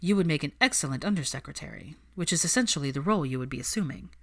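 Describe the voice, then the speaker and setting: A woman voicing a cool, quietly devoted young man in a narrated story, with a dry, understated, assured way of speaking. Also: sits low, lively intonation, rapid-fire, creaky, full character voice.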